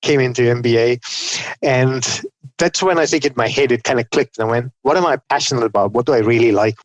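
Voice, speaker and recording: A man's voice: medium pitch, no echo, low-quality recording. Chatty man telling his story, dry sound. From Malaysia. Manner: chatty, self-deprecating, quotes himself often. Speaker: podcast guest. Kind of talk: conversational storytelling.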